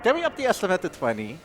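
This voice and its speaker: A man's voice: high-pitched, fairly bright tone. A man giving casual, joking live commentary as a speedrunner, chatty throughout.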